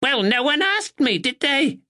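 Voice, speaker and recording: A man voicing a sharp, relentless, bedridden elderly mother with a dialect. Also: very high voice, very animated, measured pace, pitched above the performer's own voice, heavily acted. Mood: sharp, insistent. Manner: blunt, relentless, dialect "were".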